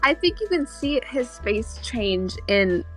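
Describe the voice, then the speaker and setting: A woman's voice: fairly high pitch, very animated, slow, low-quality recording. Woman chatting casually over music. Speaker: podcast co-host. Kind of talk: casual fan discussion.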